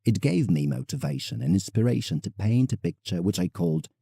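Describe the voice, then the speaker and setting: A man's voice: lowish voice, big pitch swings, fairly quick, gravelly, mellow, slightly rough, dry recording, studio quality, full character voice. A man playing a proud, reflective English landscape painter in an audio drama, telling his travels formally as a letter to a friend.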